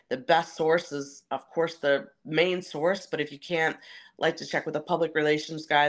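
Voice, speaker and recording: A woman who works as a stadium announcer, answering interview questions in a conversational, explanatory way. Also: sits low.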